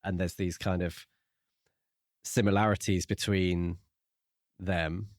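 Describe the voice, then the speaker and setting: A man with a low-pitched voice speaks as a podcast co-host. His talk is casual but measured and thoughtful. The recording is dry, without room reflections. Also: little pitch movement, no echo. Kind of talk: casual book discussion.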